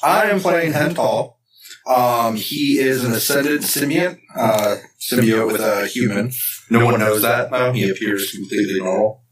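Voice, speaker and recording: A man's voice: medium pitch, unhurried, echoey room. A man playing a tabletop game, casually introducing and explaining his character to the group. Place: indoor room, group around a table. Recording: distant mic with faint noise.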